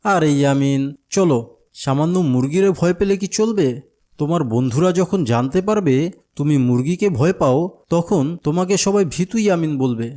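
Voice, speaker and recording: A man's voice: fairly high pitch, lively intonation, normal speed, mellow, lightly acted. A man voicing a friendly, patient older companion to a child in a cartoon, with a Bangladeshi accent. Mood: teasing. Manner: patient, coaxing, explanatory. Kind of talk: cartoon dialogue.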